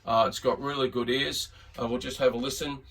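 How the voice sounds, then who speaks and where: A man's voice: medium pitch, flat delivery, fairly quick, gravelly, a bit bright, a little hiss or hum. An Australian man giving a casual, unscripted demonstration as a radio hobbyist, rambling and hesitant in a laid-back way. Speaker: radio hobbyist presenting a video. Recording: distant mic, slightly muddy room sound.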